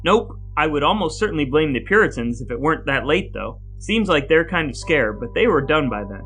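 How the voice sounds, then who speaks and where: A man's voice: on the high side, sing-song, a little fast, a bit bright, soft background music, poor audio. A man hosting a podcast with playful, mock-formal wordiness, moving between scripted lines and casual banter. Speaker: podcast host.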